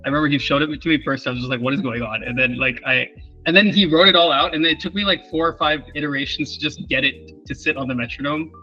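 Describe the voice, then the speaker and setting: A man hosts a relaxed, chatty post-show conversation. His voice is bright and forward, though the sound is slightly muffled. Soft music runs faintly behind him. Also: on the high side, fast talker. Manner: relaxed, chatty, many fillers. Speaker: host, composer and festival organizer. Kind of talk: casual conversation, interviewing.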